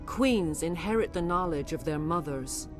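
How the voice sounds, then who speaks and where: A regal alien matriarch played by a woman speaks in a low, solemn voice over prominent music. She is formal and measured, a once-enslaved mind heavy with remorse, in a dramatic game scene. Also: expressive, close to an everyday voice. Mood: solemn, remorseful. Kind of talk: dramatic video game acting.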